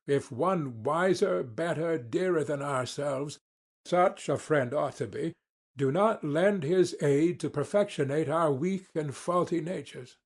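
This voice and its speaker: A man's voice: fairly high pitch, darkish tone. A man voicing a grief-stricken, eloquent stranger in a dramatic audiobook, speaking with solemn, impassioned declamation. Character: grief-stricken, broken, eloquent male stranger, intense and despairing. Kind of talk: dramatic audiobook character reading.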